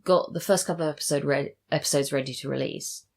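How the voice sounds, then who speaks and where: Very low-pitched English woman, chatting casually. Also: fast talker, vocal fry, a little mellow. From England. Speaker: podcast guest, audio drama writer and voice actor. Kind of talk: casual chat.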